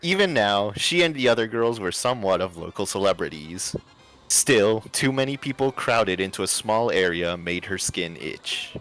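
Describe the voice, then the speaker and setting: Male, low voice, highly varied intonation, expressive story reading, livestream host, music in the background. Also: normal speed, low-quality recording. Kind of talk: expressive fan fiction read-aloud with casual banter.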